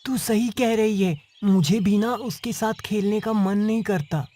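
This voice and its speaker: A woman's voice: medium pitch, unhurried, mellow, close to an everyday voice. A woman voicing an earnest, caring young village child in a cartoon moral tale. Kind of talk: Hindi cartoon story voice acting.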